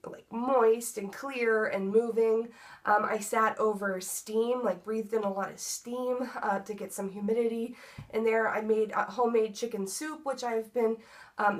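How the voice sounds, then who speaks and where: A young woman vlogging a casual update, her voice congested from a cold, chatty with many ums and likes. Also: mid-range voice. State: congested, stuffy nose. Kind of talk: casual pregnancy update vlog.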